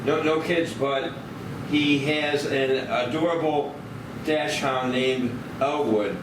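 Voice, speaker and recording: A man reads a deadpan staffer in a disaster spoof. He talks slowly at medium pitch, away from the mic in a noisy room. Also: natural voice. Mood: deadpan reporting. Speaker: actor reading at a screenplay table read. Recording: distant, echoey, noisy room.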